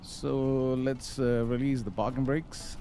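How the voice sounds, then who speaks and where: A man giving casual live commentary on a flight simulator, explaining step by step with hesitant pauses. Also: medium pitch, steady tone, mellow.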